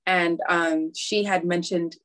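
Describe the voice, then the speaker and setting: A woman from Harlem, New York, answers interview questions in a somewhat low voice. She talks candidly and casually, pausing on fillers as she recalls things. She sounds like a math teacher speaking about her own path. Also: steady tone. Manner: candid, with hesitant fillers and soft laughs.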